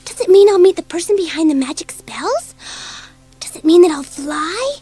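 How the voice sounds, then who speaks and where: An impulsive schoolgirl in a children's audio drama, with a very high, girlish voice. Her delivery is breathless and eager, swinging widely in pitch. Soft music plays behind her. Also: expressive, normal speed. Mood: excited. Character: bored, impulsive, excitable schoolgirl dabbling in magic.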